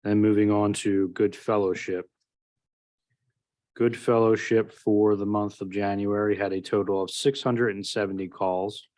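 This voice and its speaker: A man's voice: on the low side, little pitch movement, a little slow, darkish tone. A man giving a formal, matter-of-fact report of fire company call numbers at a township meeting. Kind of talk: reading a report at a public meeting.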